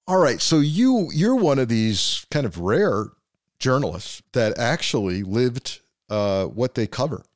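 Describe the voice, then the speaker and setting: A man's voice: mid-range voice, sing-song, measured pace, no echo, clean studio sound. A man hosting a podcast, asking conversational questions and offering short affirmations. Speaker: podcast host. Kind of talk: interview questions.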